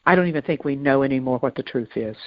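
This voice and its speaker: A woman's voice: a bass voice, quick pace, dry recording. Woman podcast co-host, dry muffled sound. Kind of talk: conversational podcast discussion.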